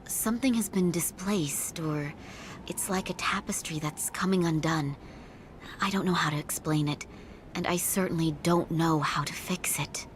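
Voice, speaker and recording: An actress playing a grave, fatalistic middle-aged mother in a video game, with a solemn, resigned, deadpan manner. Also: deep voice, moderate pace, a little hiss or hum, close to an everyday voice, low-quality recording. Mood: troubled. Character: grave, fatalistic mother, a seer who hears voices. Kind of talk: video game cutscene dialogue.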